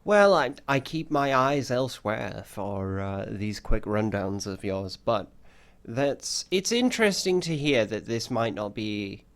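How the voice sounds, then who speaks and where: Host: man with a lowish voice, loose, wry, meandering delivery, thoughts left unfinished. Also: very animated, measured pace. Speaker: podcast host. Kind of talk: casual podcast discussion.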